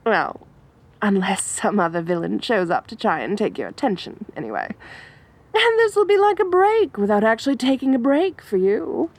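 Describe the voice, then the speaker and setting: A woman's voice: mid-range voice, sing-song, normal speed. A woman voicing an obsessive, theatrical female supervillain in an audio roleplay, dramatic and giggly, swinging between coy and menacing. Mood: scheming. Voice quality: dramatic, giggly, sing-song.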